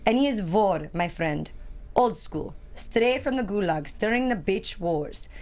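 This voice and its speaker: A woman's voice: mid-range voice, lively intonation, a little slow, a little mellow, close to an everyday voice. Blunt, cynical older Russian mobster played by a woman. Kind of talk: table read of a screenplay.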